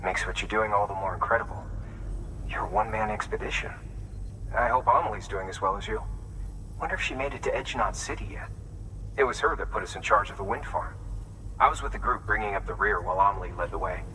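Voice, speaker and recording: An actor playing an earnest, plain-spoken wind farm worker in a video game, grateful and weary. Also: male voice, lowish voice, fast, pushed and bright, far from the mic, poor audio. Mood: earnest, admiring.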